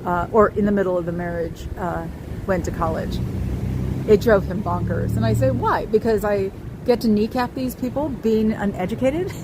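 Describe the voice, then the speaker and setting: A woman with a high voice chatting casually to her viewers, wry and quick to laugh. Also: background noise. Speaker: livestream host. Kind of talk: casual chat reacting to viewer comments.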